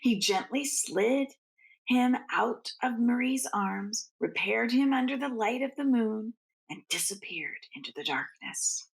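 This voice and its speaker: A woman reads a picture book to children in an unhurried, creaky voice. She stretches out key words for emphasis and slips into soft, casual asides. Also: fairly high pitch, lively intonation, low-quality recording. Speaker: teacher reading a picture book aloud to children. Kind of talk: expressive read-aloud storytelling with casual asides.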